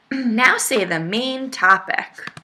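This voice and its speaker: A woman's voice: fairly high pitch, drawn-out words, bright, forceful tone. A woman teacher giving a read-aloud lesson to young children, speaking in an instructional, enunciated way. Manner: instructional, enunciated, posing questions to young listeners. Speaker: first-grade teacher.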